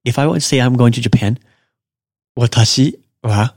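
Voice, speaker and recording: A man hosting a podcast, giving a casual language lesson and slowly repeating example phrases. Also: mid-range voice, darkish tone, no room sound, studio quality. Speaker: podcast host and Japanese teacher.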